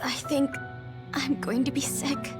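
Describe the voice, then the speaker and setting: A timid young soldier in a game cutscene. She sounds shaken, speaking slowly in a high voice. Loud music swells behind her. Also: level tone. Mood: queasy. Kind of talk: video game cutscene acting.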